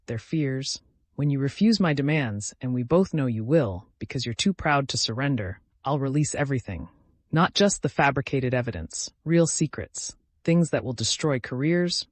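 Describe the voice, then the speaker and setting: A man voicing a cold, menacing female villain in an audiobook thriller, with measured, taunting delivery. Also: mid-range voice, no echo, close to an everyday voice.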